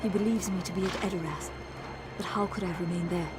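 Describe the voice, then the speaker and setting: A woman's voice: lowish voice, fairly even intonation, quick pace, close to an everyday voice. A woman voicing a noble young warrior lady in a fantasy video game, earnest, formal and urgently pleading. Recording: loud music over the voice.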